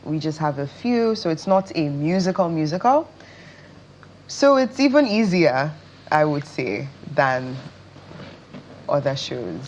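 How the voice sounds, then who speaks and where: A woman's voice: deep voice, big pitch swings, unhurried, long pauses, darkish tone. A woman with a Nigerian accent speaking casually as an actress being interviewed on television. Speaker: interview guest, stage actress.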